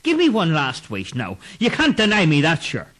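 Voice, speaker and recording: A shrill, panicky drunkard. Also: male voice, wildly swooping pitch, normal speed, fairly bright tone, heavily acted. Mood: pleading. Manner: shrill, frantic, wheedling. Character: panicky drunken man, terrified and pleading.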